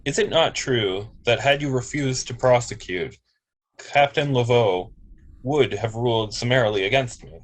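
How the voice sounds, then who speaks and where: A man's voice: mid-range voice, moderate pace, lightly acted, rough recording. A man playing a polite, earnest android officer who speaks formally and precisely. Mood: earnest, inquiring. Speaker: amateur actor in a table read.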